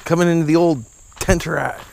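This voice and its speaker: A man's voice: fairly high pitch, fairly even intonation, a little fast, gravelly. A man with a rural Ontario Canadian accent vlogging casually outdoors, with folksy, repetitive slangy tag questions. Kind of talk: casual vlog chat to camera. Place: outdoors at a campground, trail and lake. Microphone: handheld action camera. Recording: windy, noisy outdoor camera audio.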